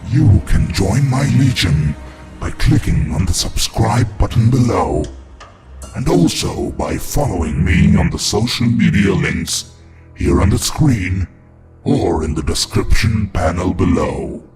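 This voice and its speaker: A man playing a gloating, demented evil master of dark creatures as a theatrical, sinister horror podcast host. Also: low-pitched, animated, unhurried. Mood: sinister, commanding. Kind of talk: in-character horror host monologue. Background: music.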